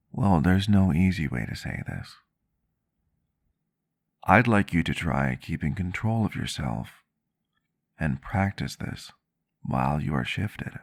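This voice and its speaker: Gentle vampire doctor, male, played by a man, intimate ASMR roleplay. Low register with fry, reflective, formal phrasing. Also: full character voice. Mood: gentle, reassuring. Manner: measured, reflective, formal wording. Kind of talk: ASMR roleplay, soft intimate storytelling to the listener.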